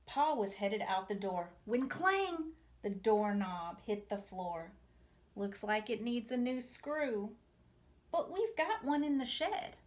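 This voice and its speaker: Woman in her forties, higher-pitched voice, unhurried picture-book narration, expressive, deliberate, speaking to kids. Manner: expressive, deliberate, addressing young listeners. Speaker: storytime reader for a museum family programme. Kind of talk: read-aloud picture-book narration with asides to children. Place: small home room, indoors.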